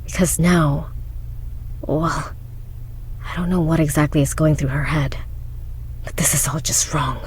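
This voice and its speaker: Slow-spoken, skeptical girl. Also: sits low, fairly even intonation, darkish tone, a little hiss or hum. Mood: uneasy. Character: skeptical, exasperated, sarcastic girl stuck at a convent. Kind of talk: visual novel voice acting.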